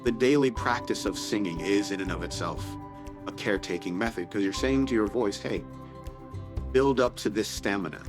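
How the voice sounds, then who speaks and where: A man with a fairly low voice explains singing in a measured, teacherly way. He is a working singer speaking in an interview. Music plays clearly underneath him. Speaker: professional singer. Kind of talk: interview talk. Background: music bed.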